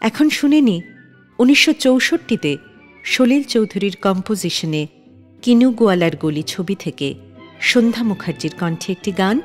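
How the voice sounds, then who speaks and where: A woman radio host gives scripted narration in a steady announcer's manner, her pitch rising and falling a lot. Her voice rings with a long hall reverb, and faint music plays under it. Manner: measured announcer delivery, introducing songs.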